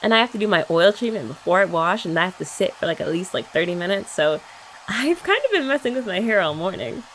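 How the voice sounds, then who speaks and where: A woman's voice: medium pitch, animated, quick pace, a bit bright, a little hiss or hum, low-quality recording. A young woman in an ASMR girlfriend roleplay, chatting in a playful, teasing way.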